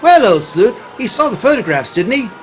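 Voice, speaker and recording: A pompous old-fashioned gentleman in a comic radio play. The voice is very high and swoops wildly, florid and theatrical, on slightly muffled audio. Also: sing-song, normal speed, faint music behind. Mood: pompous, astonished.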